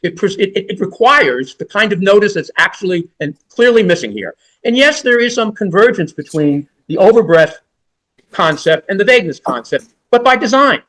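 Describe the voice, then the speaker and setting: A man's voice: high-pitched, very animated, normal speed, a bit bright, rough recording. A man in his sixties, a defense lawyer, making an oral argument in court in an emphatic, rhetorical and repetitive way.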